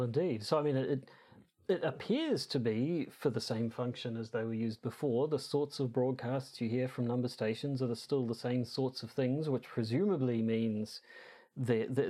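A man co-hosting a podcast in casual discussion, halting and hesitant as he thinks aloud with frequent false starts. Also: mid-range voice, dark tone. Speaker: podcast co-host.

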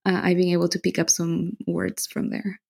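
A woman from Bolivia, a podcast guest, talking in a relaxed, chatty way. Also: lowish voice, normal speed, vocal fry, slightly rough. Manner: relaxed, chatty, with frequent fillers and soft laughs. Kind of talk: casual interview chat.

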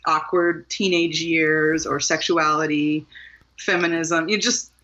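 Bright-voiced woman from Maryland. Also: low voice, fairly even intonation, slow, dull audio. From Maryland, USA. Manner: thoughtful, earnest, with small laughs and restarts. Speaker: guest author. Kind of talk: reflective interview conversation.

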